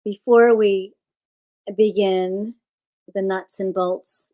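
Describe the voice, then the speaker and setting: A woman teaching yoga nidra, giving guided relaxation instructions in unhurried phrases with pauses. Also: mid-range voice, fairly even intonation, dark tone.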